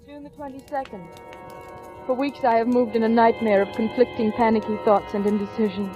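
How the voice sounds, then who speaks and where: An actress playing an anxious, haunted wife in a 1940s radio suspense drama, with intense, dramatic delivery. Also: female voice, a little high, steady tone, a little fast, natural voice. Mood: haunted, dread. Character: anxious, haunted vaudeville wife longing for divorce. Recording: dull old radio recording with music.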